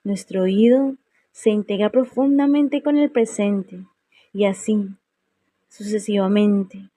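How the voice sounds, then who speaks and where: A woman narrates a guided meditation at a very slow pace. Her tone is mellow and relaxed, and she leaves serene pauses between phrases. The sound is dry, with little room on it. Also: medium pitch, no room sound. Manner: unhurried, serene, with long pauses.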